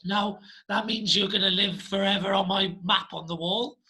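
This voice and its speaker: A man's voice: high-pitched, steady tone, moderate pace, a crowd in the background. A man hosting a podcast, chatting with a guest in an upbeat, easygoing way. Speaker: podcast host. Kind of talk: casual interview chat.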